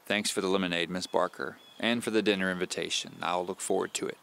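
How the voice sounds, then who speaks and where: A man's voice: sits low, steady tone, fast talker, gravelly, bright, forceful tone, slight background noise, exaggerated voice. A man playing a polite, wry thriller novelist in an audio drama, courteous and dryly humorous. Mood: grateful. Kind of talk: audio drama first-person storytelling.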